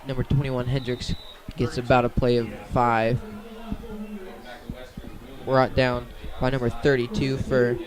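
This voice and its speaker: A man giving radio-style play-by-play commentary on a football game. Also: mid-range voice.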